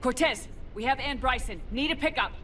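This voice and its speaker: An actress playing a resolute military commander in a sci-fi video game, speaking in clipped, authoritative commands. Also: female voice, sits high, brisk, heavy background noise, close to an everyday voice. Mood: urgent, commanding.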